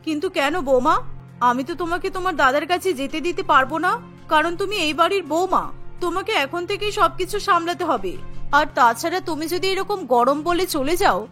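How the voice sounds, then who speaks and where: A woman's voice: very high voice, brisk, a bit bright, soft background music. A woman voicing a strict, controlling mother-in-law in a cartoon, with a firm, admonishing manner. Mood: firm, reasoning. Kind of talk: Bengali cartoon voice acting.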